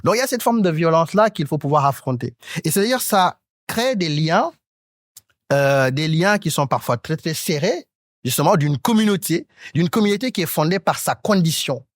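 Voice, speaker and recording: A man in his thirties from Senegal, speaking as a talk show panelist in an articulate, academic way, often pausing on hesitant fillers. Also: fairly high pitch, animated, dry recording, clean studio sound. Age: 30s. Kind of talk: panel discussion.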